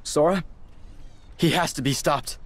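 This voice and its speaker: A man's voice: a little high, measured pace, a little hiss or hum, close to an everyday voice. A young man voicing an earnest, loyal teenage boy inventor in a video game cutscene. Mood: sad resolve. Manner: earnest, emotional delivery.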